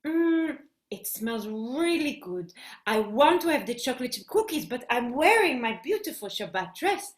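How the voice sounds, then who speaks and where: A woman's voice: sits high, very animated, normal speed, reverberant. A woman voicing an earnest, kind-hearted little girl in a children's story, hesitant and repeating herself. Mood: polite, firm.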